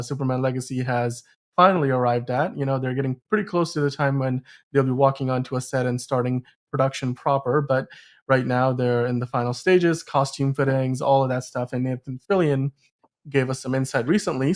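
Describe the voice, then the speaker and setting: A man with an average-pitched voice hosts a casual film news discussion. He explains things conversationally, pausing mid-sentence as he finds his words. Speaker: podcast host.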